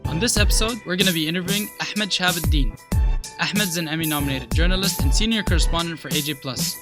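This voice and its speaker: Higher-voiced male host over music. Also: fast. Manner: admiring, earnest delivery of a prepared intro. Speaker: podcast host. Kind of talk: scripted introduction and interview questions. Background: music under the intro.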